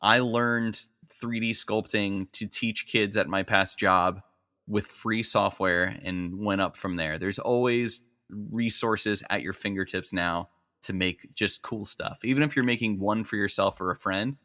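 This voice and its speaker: A man's voice: on the low side, fairly even intonation, a little slow, sharp tone. A man speaking casually as a guest on a podcast.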